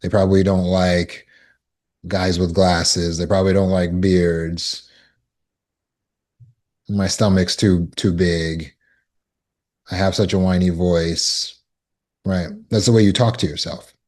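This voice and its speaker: Slow, very low-voiced male coach. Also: flat delivery, darkish tone. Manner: slow, deliberate coaching with repeated affirmations. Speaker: trauma recovery coach. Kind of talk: self-help teaching talk.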